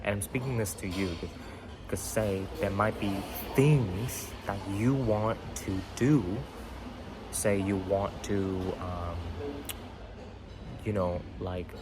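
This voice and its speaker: A man's voice: on the low side, noisy background. A young man whose first language is Vietnamese, hosting a podcast and talking casually through a motivational story, full of fillers and "right?" tag questions. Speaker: podcast host. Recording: background music under voice. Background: music.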